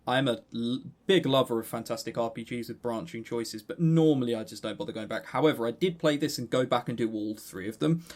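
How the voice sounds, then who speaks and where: A man's voice: medium pitch, fairly quick, few pauses. Rambling Englishman on a podcast. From England. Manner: rambling, stammering with repeated words. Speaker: podcast co-host. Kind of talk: casual discussion and review.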